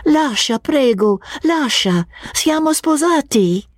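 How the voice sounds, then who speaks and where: A woman voicing a gentle young woman in love for an audiobook, soft and emphatic in manner. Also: high voice, lively intonation, takes it slowly, dry recording, studio quality, pitched above the performer's own voice. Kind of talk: dramatic audiobook character reading.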